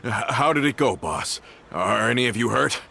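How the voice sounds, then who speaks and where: An actor playing a loyal, composed male henchman with a gravelly voice in a video game. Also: medium pitch, animated, normal speed, sharp tone, a little hiss or hum. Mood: concerned. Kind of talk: video game character acting.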